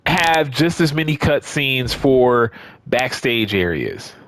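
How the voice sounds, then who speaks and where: A man's voice: medium pitch, big pitch swings, takes it slowly, fairly bright tone, no room sound. A man giving casual, off-the-cuff commentary on a video game, emphatic and repeating words for stress. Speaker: gaming video creator.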